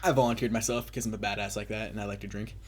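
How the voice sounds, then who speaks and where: A man's voice: lowish voice, fairly even intonation, rapid-fire. A young man telling a casual, rambling story as a gaming commentator, swearing freely with self-mocking bravado. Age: young adult. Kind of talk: casual rambling party storytelling over gameplay.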